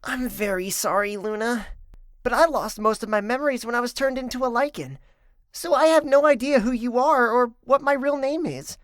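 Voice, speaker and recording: A woman voicing a small, good-natured furry boy creature in an animated series, earnest, naive and excitable. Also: fairly high pitch, normal speed, dry recording, close to an everyday voice. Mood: apologetic. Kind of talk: animated web series voice acting.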